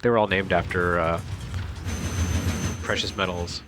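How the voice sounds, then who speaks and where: Low-voiced sardonic man over game music. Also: fairly even intonation, unhurried. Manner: rambling, sardonic. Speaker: reviewer and co-host. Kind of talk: casual joking commentary on video game cutscenes.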